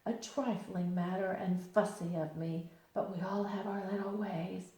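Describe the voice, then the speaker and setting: A woman voicing a gloomy, resigned old male donkey, speaking slowly and mournfully in a very echoey room. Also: mid-range voice, steady tone, warm tone, natural voice. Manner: slow, drawn-out, mournful.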